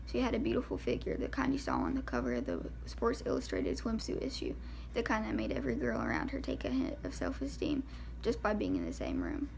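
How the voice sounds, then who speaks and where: A woman's voice: extremely low pitch, huge pitch swings, a little fast, vocal fry, darkish tone, rough recording. A woman reading a first-person novel aloud as an amateur, informally and with stumbles over the words. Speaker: amateur audiobook reader.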